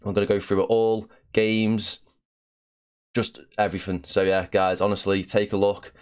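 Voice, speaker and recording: Young host with a slight cough, low smooth voice, chatty and filler-heavy. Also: male voice, flat delivery, normal speed, darkish tone. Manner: chatty, with frequent "uh" and "um". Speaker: channel host. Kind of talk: casual promotional video.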